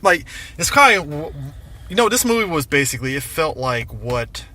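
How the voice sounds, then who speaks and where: A man giving a casual, rambling film review, halting often as he gathers his thoughts and swearing mildly. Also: a little high, big pitch swings, pushed and bright. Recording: noisy outdoor recording with traffic.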